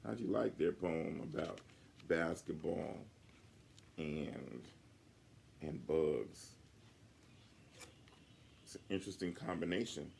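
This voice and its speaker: A man reading a picture book aloud to children in an animated way, with chatty asides. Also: low-pitched, slow, creaky, pauses often, a little hiss or hum. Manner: chatty asides to young listeners.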